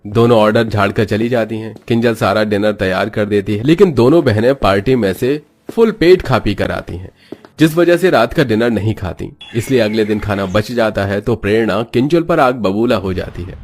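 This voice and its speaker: A man's voice: on the low side, lively intonation, normal speed, a little mellow. A man narrating a scripted moral story as a storyteller. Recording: music bed behind voice.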